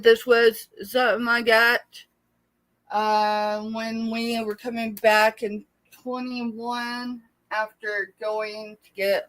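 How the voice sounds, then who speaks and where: A woman's voice: medium pitch, a bit bright, no echo, rough recording. A middle-aged American woman hosting a casual live sale, chatty and rambling as she talks familiarly with her viewers. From United States, born in Texas. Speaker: live sale host and small seller.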